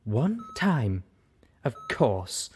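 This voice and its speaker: A man voicing a sharp-tongued female pony companion, cross, scolding and demanding. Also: mid-range voice, wildly swooping pitch, very slow, a little hiss or hum, heavily acted. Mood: seething.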